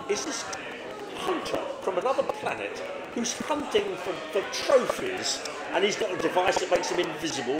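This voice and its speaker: Animated man in a noisy, echoey pub. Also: high voice. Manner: emphatic, enthusiastic storyteller. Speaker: podcast guest. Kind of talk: animated casual chat about favourite films. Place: busy pub, indoors. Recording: echoey room, very loud pub crowd.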